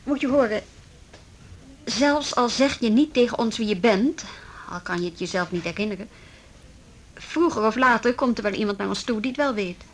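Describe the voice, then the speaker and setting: A woman acting a brisk, persistent policewoman in a radio drama, firm and probing as she questions people. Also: fairly high pitch, lively intonation. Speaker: police officer.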